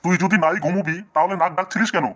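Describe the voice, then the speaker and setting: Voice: mid-range voice, fairly even intonation, racing, fairly bright tone, highs cut off, natural voice. A performer voicing an angry village father in a comic cartoon, stern and berating.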